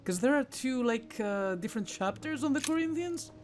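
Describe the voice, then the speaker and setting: A man's voice: very high voice, animated, normal speed, a little hiss or hum. A man hosting a gaming video, thinking aloud and grumbling in casual commentary as he plays.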